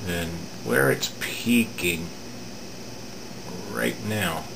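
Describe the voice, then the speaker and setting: A middle-aged man giving an unscripted hands-on repair demonstration in a halting, matter-of-fact way, recorded at an indoor workbench. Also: on the low side, gravelly. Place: indoor home electronics workbench. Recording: steady room hum, air-conditioning noise.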